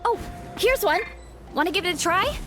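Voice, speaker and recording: A woman's voice: very high-pitched, expressive, moderate pace, loud music behind the voice. A woman playing a cheerful, modest young athletic girl in an anime game, bubbly and slightly hesitant. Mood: upbeat. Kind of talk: anime video game voice acting.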